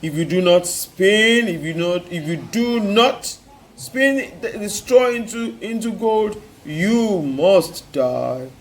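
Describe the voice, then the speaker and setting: A storyteller voicing a stern male king who issues slow, threatening decrees. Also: high voice, animated, exaggerated voice.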